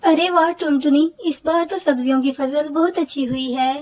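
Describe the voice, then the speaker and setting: A woman voicing a practical, motherly older female bird in a Hindi cartoon, gently chiding. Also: high-pitched, steady tone, moderate pace, lightly acted. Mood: brisk, practical. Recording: clearly noisy dialogue track.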